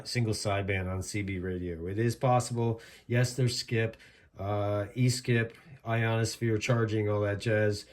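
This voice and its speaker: A man's voice: mid-range voice, slight background noise. A man giving a casual, rambling product demonstration as an enthusiastic hobbyist reviewer.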